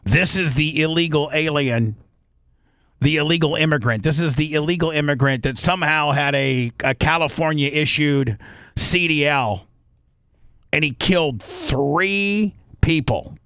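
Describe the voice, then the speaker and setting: A man's voice: on the high side, a little slow, fairly bright tone, no room sound, studio quality. A man hosting a talk show with heated, opinionated commentary, blunt and crude, repeating phrases for emphasis.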